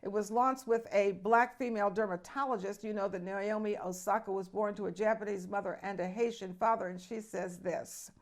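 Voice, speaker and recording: A woman's voice: medium pitch, steady tone, fairly quick, few pauses. A woman hosting a news show, reading the stories with chatty, emphatic asides to viewers. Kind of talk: news commentary.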